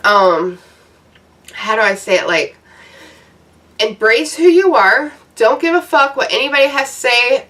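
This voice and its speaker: A woman's voice: a little high, bright, forceful tone, recorded from a distance. A woman in her thirties giving casual, blunt, profane advice to her viewers in a loose, rambling vlog rant. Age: 30s. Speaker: YouTuber.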